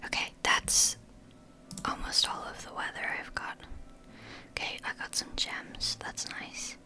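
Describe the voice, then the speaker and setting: A woman, whispering casually through language-app practice, halting and swearing now and then. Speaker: language learner.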